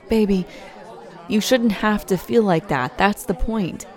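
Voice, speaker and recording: A fiercely protective young girlfriend, played by a woman in a roleplay audio, who talks straight to you and calls you "baby." She speaks slowly and takes her time over each word. A faint murmur of a crowd sits behind her. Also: mid-range voice, close to an everyday voice. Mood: concerned.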